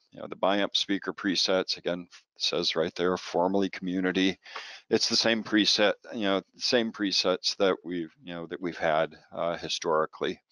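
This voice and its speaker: Very deep-voiced man giving software training. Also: no room sound. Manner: informal, halting delivery with repeated words. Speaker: product trainer. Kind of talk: software training presentation over slides.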